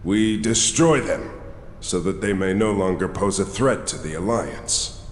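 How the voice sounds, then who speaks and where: A man voicing a young orc slave warrior in an animated fantasy game, earnest, subdued and plain-spoken. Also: low voice, unhurried, a little hiss or hum. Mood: obedient, subdued. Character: young adult male orc slave warrior, dutiful, reluctant. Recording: echoey reverberant stone room, music.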